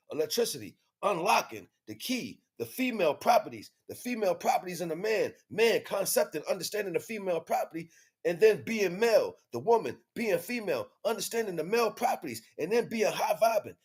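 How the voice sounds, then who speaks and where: A man's voice: sits high, very animated, a little fast, husky. A man hosting an informal spiritual teaching livestream, talking in slang with emphatic, repeated phrases. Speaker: livestream host.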